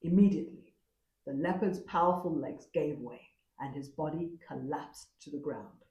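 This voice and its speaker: A woman storyteller narrating a folktale expressively, with a dramatic, deliberate manner. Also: low voice, mellow, echoey room, highs cut off.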